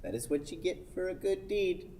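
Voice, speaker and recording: A woman playing a stiff, grumbling old gentleman motorist in a radio play, with a dry, long-suffering manner. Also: lowish voice, moderate pace, close to an everyday voice. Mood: dry, gloomy. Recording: reverberant hall, faint noise.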